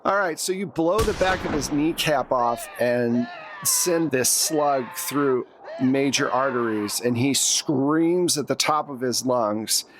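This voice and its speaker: A man's voice: mid-range voice, slow, background noise. A man running a tabletop roleplaying game as game master, describing scenes to the players in vivid, conversational second person. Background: background music.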